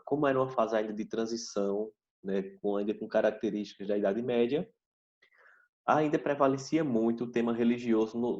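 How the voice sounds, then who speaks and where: A man teaching a history class over a video call, explaining slowly and checking in with "tá certo?" after each point. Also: fairly low pitch, a little mellow. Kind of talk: online class lecture. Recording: dull video call audio.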